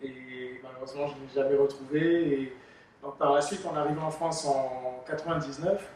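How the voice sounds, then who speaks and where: A middle-aged man from the Republic of the Congo, an author answering interview questions in a measured, hesitant way with many fillers. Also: mid-range voice, fairly even intonation, darkish tone. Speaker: author and illustrator guest. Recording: echoey room, distant mic, faint noise.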